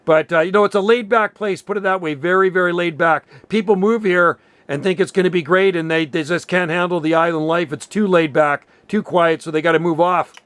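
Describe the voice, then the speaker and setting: A man's voice: sits high, fairly quick, few pauses, a bit bright, a little hiss or hum. A man with a Canadian accent chatting casually and informally as an amateur radio operator.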